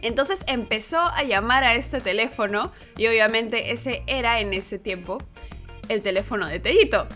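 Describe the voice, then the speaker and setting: A woman's voice: a little high, normal speed, bright, forceful tone, faint music behind. A young woman co-hosting a channel, telling a story in a casual, conversational way. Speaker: co-host of a channel.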